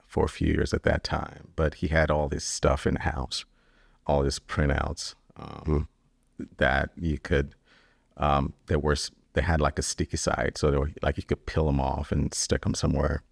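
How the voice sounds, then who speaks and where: Founder from Kenya, middle-aged man, very low flat gravelly voice, dry close sound. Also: no echo. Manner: relaxed, rambling, many fillers and restarts. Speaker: business founder. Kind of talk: interview answers.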